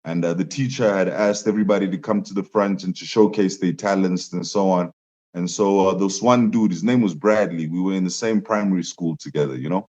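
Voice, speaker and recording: A man's voice: sits low, fairly quick, a little mellow. A young man, a musician guest, telling stories animatedly in a casual interview, laughing often and jumping his voice up for quotes. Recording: dull lo-fi sound.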